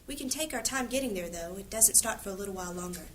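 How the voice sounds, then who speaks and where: A woman playing a helpful, dutiful young temple attendant in a fantasy audio drama, polite and gently fussing. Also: mid-range voice, lively intonation, fast talker, close to an everyday voice. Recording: noisy track with clicks.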